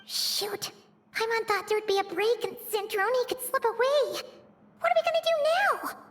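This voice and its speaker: Squeaky, very high little fairy. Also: female voice, moderate pace, a bit bright. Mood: panicky. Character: tiny childlike flying fairy companion, anxious and excitable. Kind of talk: cartoonish video game acting.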